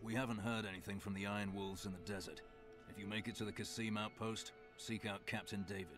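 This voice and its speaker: A man's voice: fairly low pitch, steady tone, quick pace, music in the background, natural voice. A man playing a businesslike desert gate guard in a fantasy video game, plain and matter-of-fact.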